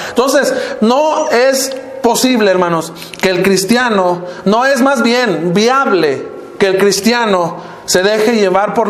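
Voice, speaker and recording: High-voiced older Mexican preacher. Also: male voice, reverberant. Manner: preaching, often addressing the congregation as brothers, pitch dropping at phrase ends. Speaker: minister. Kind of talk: sermon. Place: church hall.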